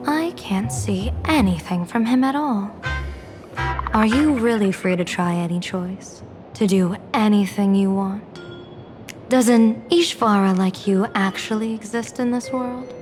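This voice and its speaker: Woman acting enigmatic, slow-talking seer strategist over music. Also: mid-range voice, darkish tone, close to an everyday voice. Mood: intrigued. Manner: measured, musing, theatrical fortune-telling delivery with pauses. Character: enigmatic, playful female seer strategist and general. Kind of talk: English video game voice acting. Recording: music under the voice.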